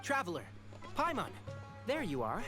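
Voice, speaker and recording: A woman voicing a dutiful young male forest ranger in an anime video game, brisk and matter-of-fact. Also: mid-range voice, huge pitch swings. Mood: concerned, relieved. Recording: lofi music behind.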